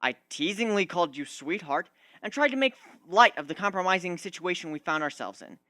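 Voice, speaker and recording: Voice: medium pitch, animated, fairly quick, pushed and bright, dry recording, studio quality, pitched above the performer's own voice. A narrator voicing a wounded, sarcastic young male griffin who rants emphatically in a storybook reading. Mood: defensive, angry. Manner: ranting, emphatic, aggrieved.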